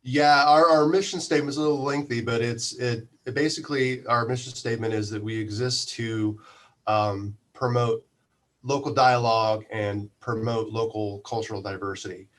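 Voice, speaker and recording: Man, medium pitch, interview guest, casual, thoughtful, halting explanations. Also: a bit bright. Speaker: interview guest, community radio manager.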